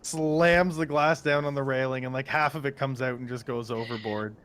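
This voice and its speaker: A man with a creaky voice, bantering and roleplaying casually as a player in a tabletop game. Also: medium pitch, fairly even intonation, moderate pace, a bit bright.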